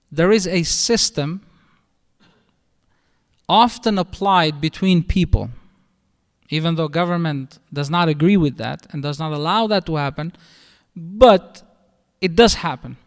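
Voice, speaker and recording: Middle-aged Albanian-accented male imam, somewhat high and smooth-voiced, explaining patiently in a reverberant mosque hall. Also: takes it slowly, pauses often. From northern Albania. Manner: explanatory, conversational teaching with restarts. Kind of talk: religious lecture.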